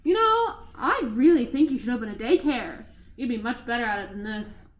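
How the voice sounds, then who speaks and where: A woman's voice: fairly high pitch, animated, moderate pace, lightly acted. A young woman acting in a radio play as a cold, commanding, scornful general. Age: young adult.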